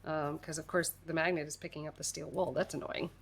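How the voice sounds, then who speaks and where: A woman's voice: deep voice, flat delivery, brisk, creaky, slightly rough. An American woman hosting a crafting livestream, chatting casually and self-deprecatingly while she works, laughing often and a little congested. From United States.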